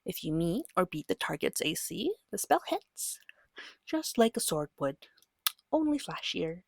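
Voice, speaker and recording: A woman speaking softly and breathily, often near a whisper, gently and patiently guiding a beginner as game master in an ASMR roleplay. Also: on the low side, big pitch swings, creaky, breathy edge, dry recording. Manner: gentle, patient, reassuring, unhurried. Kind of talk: soft-spoken ASMR roleplay tutorial.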